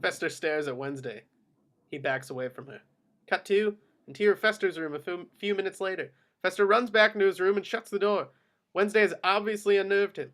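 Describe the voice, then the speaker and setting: A man's voice: sits high, fairly quick, a bit bright. A man narrating the action of a film script at a table read. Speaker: stage-direction reader.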